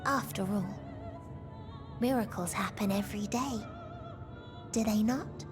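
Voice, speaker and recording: An actress playing a serene, wise and benevolent guide in a video game, with gentle, measured, formal delivery. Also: female voice, mid-range voice, lightly acted. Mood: hopeful, tender. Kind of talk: dramatic video game voice acting. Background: music.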